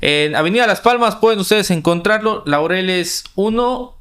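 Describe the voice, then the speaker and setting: A Mexican man with a high-pitched voice hosts a podcast. He talks in a casual, conversational way as he interviews his guest. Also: lively intonation, moderate pace, a bit bright, smooth. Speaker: podcast host.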